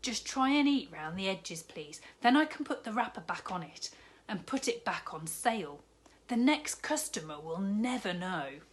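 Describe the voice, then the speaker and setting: A woman voicing a big, jolly, kindly male shopkeeper in a storybook reading, warm and fatherly. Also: mid-range voice, lively intonation, normal speed, close to an everyday voice.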